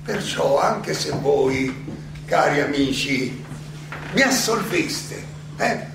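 An actor playing a defiant philosopher on trial in a theatrical monologue, declamatory and halting, with rhetorical questions, in an echoey, noisy recording. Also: male voice, on the high side, expressive, heavily acted. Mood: resolute. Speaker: stage actor and director. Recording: echoey hall, distant mic, clearly noisy.

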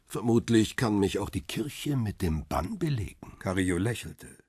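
A wary, world-weary photographer in a radio crime drama. His voice is low and heavily husky, recorded close and dry. He speaks in terse, reflective phrases as he tells his own story. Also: big pitch swings, normal speed, darkish tone, no room sound. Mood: guarded. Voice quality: husky, rough. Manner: reflective, terse first-person narration.

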